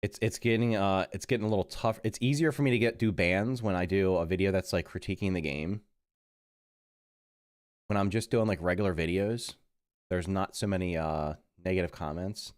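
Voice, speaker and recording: A man's voice: deep voice, moderate pace, clear, resonant tone, dry recording, studio quality. A middle-aged man livestreaming a game who sounds congested and rambles in casual chat, often correcting himself mid-sentence. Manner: rambling, self-correcting, mutters while busy. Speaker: livestreaming gamer. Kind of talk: casual live stream chat.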